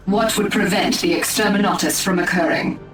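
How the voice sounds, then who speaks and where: A woman's voice: mid-range voice, steady tone, brisk, reverberant, distant mic, music in the background, natural voice, low-quality recording. A woman voicing a haughty, commanding alien elf war leader in a video game, formal and imperious. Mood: disdainful.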